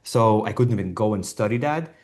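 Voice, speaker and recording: Male, 40s, low voice. Candid, self-deprecating storyteller giving an informal designer talk. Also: animated, fairly quick, darkish tone. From Estonia.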